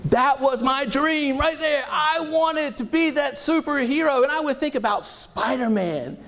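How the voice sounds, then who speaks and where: A man with a Southern US accent giving an energetic, animated motivational keynote on a conference stage, joking with the audience. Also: very high-pitched, measured pace. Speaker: keynote speaker. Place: conference hall, speaking on stage.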